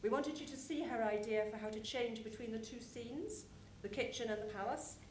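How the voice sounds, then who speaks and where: A woman's voice: on the high side, brisk. A woman giving an informal, explanatory conference presentation about a show, speaking conversationally. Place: conference room, live presentation. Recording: echoey room with clear background noise.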